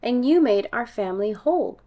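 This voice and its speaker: Woman acting a gentle, reassuring storybook mom. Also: fairly high pitch, lively intonation, a little fast, close to an everyday voice. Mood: tender, reassuring. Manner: tender, explaining patiently. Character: gentle, reassuring adoptive mother.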